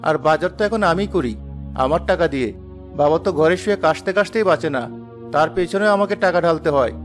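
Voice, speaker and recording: Man as household family man, cartoon moral drama, plain-spoken, fairly high pitch, light background music. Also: fast talker, close to an everyday voice. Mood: reproachful. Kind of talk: animated moral story voice acting.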